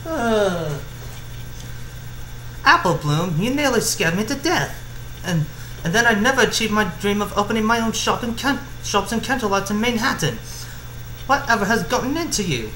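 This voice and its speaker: Fussy, refined cartoon mare played by a man, theatrical and raised in pitch, echoey noisy recording. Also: big pitch swings, moderate pace, sharp tone, heavily acted. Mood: exasperated, dramatic. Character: dramatic, prim, refined female unicorn dressmaker. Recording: roomy echo, clear background noise.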